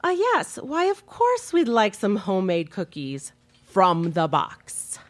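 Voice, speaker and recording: A woman acting an exasperated, sharp-tongued wife in a bickering radio comedy-drama, with pointed theatrical delivery. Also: medium pitch, wildly swooping pitch, takes it slowly. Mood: falsely cheery.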